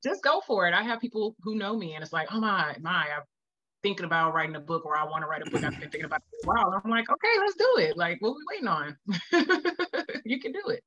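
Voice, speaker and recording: A woman's voice: medium pitch, very animated, fast talker, pushed and bright, dull audio. A woman giving casual, candid interview answers as a children's book author, animatedly quoting her own thoughts.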